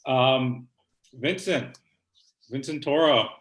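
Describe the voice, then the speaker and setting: A man hosting a poetry reading over a video call, chatting casually with halting restarts. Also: mid-range voice, fairly bright tone, reverberant, a little hiss or hum, rough recording. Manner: rambling, halting, with fillers. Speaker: host. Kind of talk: casual chat between readings.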